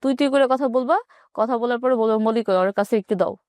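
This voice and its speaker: A woman's voice: fairly high pitch, brisk, darkish tone, dry recording. A woman in her twenties with a Bangladeshi accent, telling her life story plainly as an interview guest. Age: 20s.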